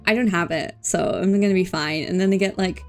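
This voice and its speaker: A woman livestreaming a game, chatting casually and playfully with expressive exclamations and silly filler words, game music behind her. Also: lowish voice, brisk. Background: faint video game music.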